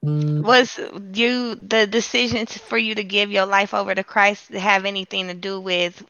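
A woman's voice: medium pitch, normal speed, bright, forceful tone, no echo, dull audio. A woman in her thirties interviewing a guest in a casual, chatty way. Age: 30s. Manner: casual, short backchannel replies.

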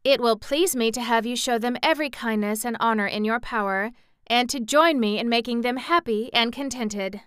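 A woman's voice: fairly high pitch, normal speed, fairly bright tone, smooth, dry recording, studio quality, pitched above the performer's own voice, lightly acted. A woman voicing a gracious, regal young princess ruler who speaks formally and kindly, in a storybook reading.

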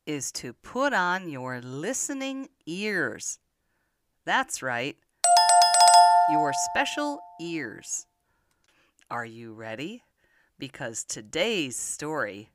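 An older woman telling Bible stories to young children in an expressive manner, often putting simple questions to the listener. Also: very deep voice, slow, pauses often, no room sound, clean studio sound. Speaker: children's podcast storyteller.

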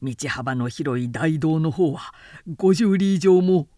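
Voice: medium pitch, lively intonation, takes it slowly, warm tone, dry recording. An anxious officer in a historical tale, acted by a woman narrator, addressing a lord. The delivery is formal, deferential and emphatic, with an urgent edge. The sound is dry, as in an audiobook. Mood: dutiful, urgent.

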